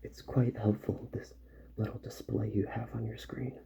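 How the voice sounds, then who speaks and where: Male ASMR roleplayer, very mellow and low, soft and unhurried, gravelly edge, half whispering. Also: flat delivery, a little hiss or hum. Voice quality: soft, breathy, partly whispered. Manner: slow, gentle, unhurried. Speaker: ASMR performer playing a robot repair doctor.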